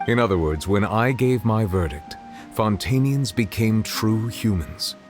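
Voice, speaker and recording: Solemn, low-voiced dragon judge. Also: male voice, expressive. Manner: formal, measured, grave. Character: solemn, dignified male dragon sovereign serving as judge. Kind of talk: dramatic video game voice acting. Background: music.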